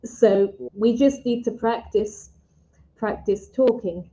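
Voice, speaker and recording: A British woman speaks in a fairly high, mellow voice, very slowly and simply, as a tutor encouraging a learner. The style is casual one-to-one lesson talk, heard over a slightly dull online call. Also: fairly even intonation. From Britain. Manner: slow, simple, encouraging teacherly phrasing. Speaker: English conversation tutor. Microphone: video call. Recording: muffled online video call audio.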